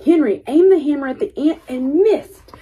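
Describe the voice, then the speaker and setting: A woman reading a children's picture book aloud as an expressive storyteller. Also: high-pitched, normal speed, narrow, tinny audio.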